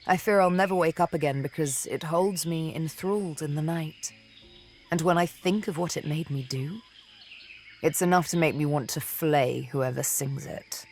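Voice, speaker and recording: A woman playing a seductive, vengeful elven assassin and former slave in a video game, speaking in a deliberate, theatrical, teasing manner. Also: sits low, darkish tone, faint music behind. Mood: vengeful, haunted. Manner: deliberate, teasing, dramatic storytelling with pauses. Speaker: video game companion character.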